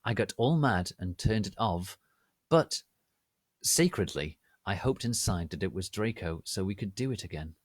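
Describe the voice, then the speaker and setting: A man giving a theatrical, deliberate dramatic reading of fan fiction as its narrator. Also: low-pitched, lively intonation, no echo. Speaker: narrator, reader.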